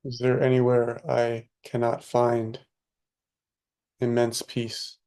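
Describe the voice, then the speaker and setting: A man leading a guided meditation as a teacher, speaking soothingly in short, unhurried phrases with long pauses. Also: mid-range voice, monotone, warm tone.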